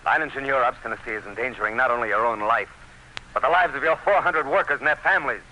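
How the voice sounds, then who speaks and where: An actor playing an earnest district commissioner in an old-time radio drama, speaking with dramatic emphasis. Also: male voice, medium pitch, fast, sharp tone, slight background noise, poor audio. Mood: urgent, alarmed. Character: earnest, urgent male district commissioner warning of doom.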